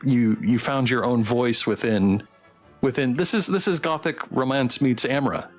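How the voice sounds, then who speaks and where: A man with a mid-pitched voice hosts a casual streamed chat over faint music. He is effusive and encouraging, feeling his way through questions and restarting phrases as he talks. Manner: effusive, encouraging, halting with rephrasing. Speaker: host. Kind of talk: casual livestream developer interview. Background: background music.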